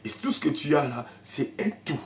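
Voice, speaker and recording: A man's voice: on the high side, very animated, normal speed. Preaching man with a cold. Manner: swings from shouted preaching to quiet murmuring asides; speaks in tongues in bursts. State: has a cold, coughing. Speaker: pastor. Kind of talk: sermon.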